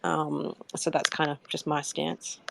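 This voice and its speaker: A woman's voice: low-pitched, level tone, measured pace, creaky, fairly bright tone, a little hiss or hum, poor audio. A woman speaking casually and thoughtfully, a bit tentative, in a live audio discussion.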